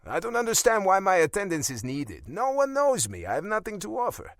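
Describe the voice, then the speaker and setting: A man plays a grown son who answers back with wry, flippant sarcasm. The audiobook delivery is animated and swings widely in pitch. The sound is close and very dry. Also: normal speed, no echo. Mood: protesting. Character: sarcastic, defiant adult son. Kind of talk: audiobook character acting.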